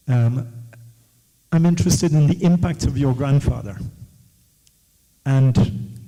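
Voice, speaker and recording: A man's voice: mid-range voice, mellow, big-room sound, poor audio. A man from West Africa whose first language is Creole, asking questions and reflecting at a book launch. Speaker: audience member and author.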